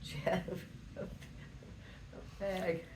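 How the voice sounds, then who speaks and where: An older woman tells family stories like a grandmother at home. Her phrasing is halting and folksy, wandering through small details. The room is echoey, with faint noise underneath. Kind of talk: casual storytelling reminiscence. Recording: roomy echo with faint background noise.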